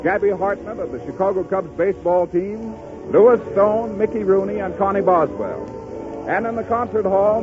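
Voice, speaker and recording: An American man announcing formally on a 1930s radio show, in a muffled old broadcast recording. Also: sits high. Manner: polite, formal. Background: music.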